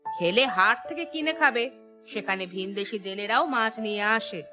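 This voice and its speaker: A woman's voice: medium pitch, lively intonation, normal speed, music in the background, lightly acted. A woman voicing a vindictive, commanding, wealthy village matriarch in a cartoon, with a scornful manner. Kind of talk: Bengali cartoon dialogue.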